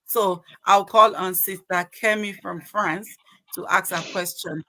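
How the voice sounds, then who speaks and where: A woman's voice: mid-range voice, steady tone, a little slow, pushed and bright, no room sound, low-quality recording. A woman hosting a podcast panel, introducing guests and handing over questions in a formal way. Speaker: podcast host.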